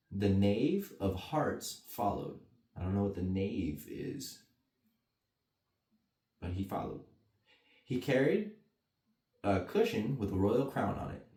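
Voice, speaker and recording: A man's voice: lowish voice, sing-song, measured pace, long pauses, darkish tone. A man reading a bedtime story to children with casual asides. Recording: roomy echo, mic at a distance.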